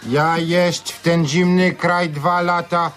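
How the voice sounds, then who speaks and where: An actor playing a wry, defiant male war refugee in a radio drama, speaking halting, broken sentences with a foreign accent. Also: on the high side, little pitch movement, a bit bright, soft background music. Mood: pleading. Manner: halting broken Polish with ungrammatical infinitives.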